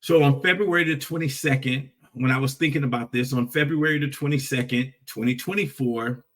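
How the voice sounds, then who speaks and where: A man's voice: medium pitch, a bit bright, far from the mic. A man in his forties hosting a motivational livestream, talking emphatically, repeating key phrases and checking in with "right?". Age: 40s. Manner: repetitive, emphatic, with rhetorical "right?" tags. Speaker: host.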